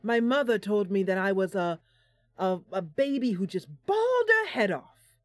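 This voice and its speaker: A woman playing a friendly, romantic bard heroine in a fantasy game, speaking in a dreamy, theatrical, drawn-out way. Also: medium pitch, big pitch swings, no echo, close to an everyday voice.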